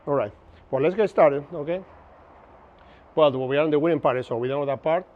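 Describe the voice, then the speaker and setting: A man's voice: fairly high pitch, fairly quick, phone-quality sound. A man in his fifties from Argentina with a Buenos Aires accent, giving a chatty, joking workshop lecture and often checking in with his listeners. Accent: Argentine Spanish, Buenos Aires. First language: Spanish. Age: 50s. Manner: chatty, jokey, keeps checking in with the audience. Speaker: instructor. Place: open-sided pavilion outdoors.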